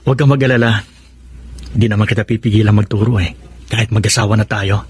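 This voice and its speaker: Husky, confident male suitor. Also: fairly low pitch, steady tone, quick pace, no room sound. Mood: devoted. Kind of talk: radio drama acting.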